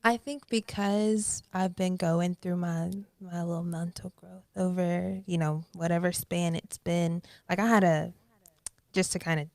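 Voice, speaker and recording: A young woman in her twenties speaking as a podcast guest, with a lowish, gravelly voice. She muses aloud in a loose, animated way, full of "like" and "you know". The sound is dry and close. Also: measured pace, no room sound. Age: 20s. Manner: reflective, animated, many fillers. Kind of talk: casual interview chat.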